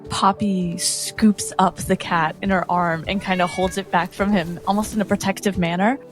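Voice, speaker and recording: A woman's voice: fairly low pitch, fairly even intonation, moderate pace, soft background music. A woman playing a tabletop game, improvising narration of what her character does. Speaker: tabletop roleplay player.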